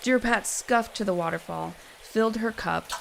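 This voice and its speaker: A woman's voice: mid-range voice, moderate pace, vocal fry, fairly bright tone, a little hiss or hum, poor audio. A woman narrating a scripted story in a wry, dramatic way.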